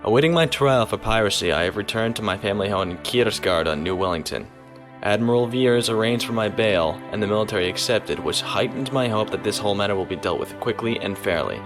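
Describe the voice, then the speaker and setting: An actor playing a composed, principled naval officer in an audio drama. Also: male voice, on the low side. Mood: log entry. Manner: measured, composed.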